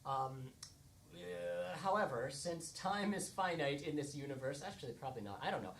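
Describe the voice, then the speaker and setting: A man's voice: a little high, expressive, moderate pace, gravelly, fairly bright tone. Wry American man hosting, noisy live recording. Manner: wry, joking. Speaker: host of live improv show. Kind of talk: casual comic stage introduction to an audience. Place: theatre stage with live audience.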